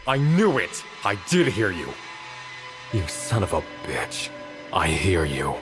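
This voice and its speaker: Casting: intense, troubled man trapped in a story, demanding and halting, very deep voice, slow dramatic pacing. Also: huge pitch swings, over music, full character voice. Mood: angry, defiant. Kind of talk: dramatic visual novel voice acting.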